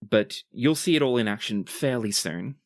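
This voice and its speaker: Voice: medium pitch, lively intonation, normal speed, no echo, clean studio sound. A game master explaining tabletop game rules and narrating scenes to players.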